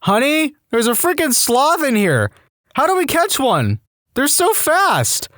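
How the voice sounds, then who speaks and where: A man's voice: very high-pitched, wildly swooping pitch, a little slow, fairly bright tone, no echo, studio quality, voice pushed up, exaggerated voice. A man voicing a woozy, baffled husband after surgery, exclaiming emphatically in a comic story. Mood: baffled wonder.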